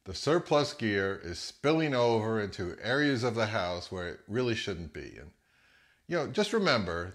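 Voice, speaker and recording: A man's voice: medium pitch, a bit bright. A man in his fifties with an American accent, talking casually as the host of a vlog. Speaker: host of a hi-fi audio channel.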